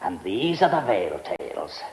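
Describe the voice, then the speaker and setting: Eccentric British lord, brusque and clipped, swinging pitch, mumbled hesitations, radio drama. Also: male voice, big pitch swings, measured pace, darkish tone, a little hiss or hum, low-quality recording. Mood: proud, absorbed. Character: eccentric, brusque lordly press baron obsessed with goldfish.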